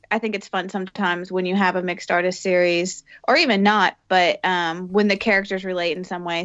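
A woman's voice: fairly low pitch, a bit bright, no echo. A woman co-hosting a podcast, talking in a thoughtful, rambling way. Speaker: podcast co-host. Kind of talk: casual podcast chat. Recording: dry, slightly dull sound.

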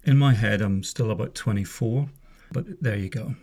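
Wry, gravelly middle-aged man chatting. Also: on the low side, normal speed, dark tone. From Northern Ireland. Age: 50s. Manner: chatty, wry, wanders off on asides. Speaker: podcast host, former police officer. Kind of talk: casual spoken monologue. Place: home room.

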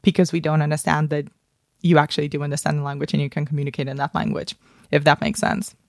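A woman's voice: low-pitched, little pitch movement, fast, vocal fry, a little mellow, dry recording. Thoughtful Spanish-raised woman, dry close sound. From Spain. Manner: thoughtful, many restarts and fillers like "kind of". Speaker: guest, film industry professional. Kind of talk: podcast interview, reflective storytelling.

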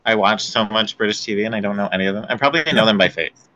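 A man's voice: fairly low pitch, fairly even intonation, racing, pushed and bright, dull audio, a little hiss or hum. A man who is a guest on a casual podcast, easygoing, laughing often and reacting in short bits.

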